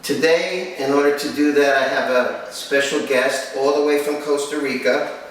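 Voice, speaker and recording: A man presenting and interviewing for a dog training video as host, with a firm, instructive manner and short, paused phrases. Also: on the high side, steady tone. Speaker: kennel owner and host. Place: room in a home. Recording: echoey room, distant mic, faint noise.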